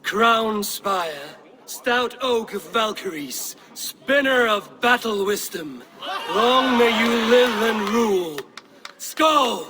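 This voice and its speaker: An actor playing a steadfast, stern Viking warrior in a video game, speaking in a measured, solemn and formal way. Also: male voice, sits high, animated, crowd noise. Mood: celebratory.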